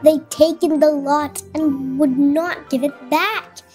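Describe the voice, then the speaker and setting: A woman reading a children's picture book aloud in an expressive, drawn-out way for young children. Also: high voice, soft background music. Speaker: children's storyteller.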